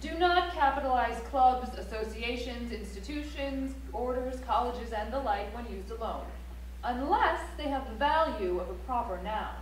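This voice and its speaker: A woman's voice: a little high, lively intonation, normal speed, lightly acted. An actress playing a prim, formal woman instructor who recites rules precisely on stage, far off in a very echoey auditorium. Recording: very echoey auditorium, distant, faint hum.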